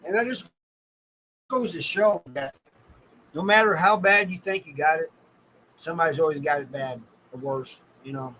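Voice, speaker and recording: Bright, higher-voiced American man chatting casually. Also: normal speed, long pauses, a little hiss or hum, rough recording. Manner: folksy, colloquial, addresses viewers by name. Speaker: livestream host. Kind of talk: casual livestream chat with viewers.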